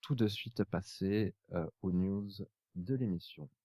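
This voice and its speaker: A man hosting a casual podcast, speaking in a hesitant, halting way. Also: deep voice, background noise. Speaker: podcast host.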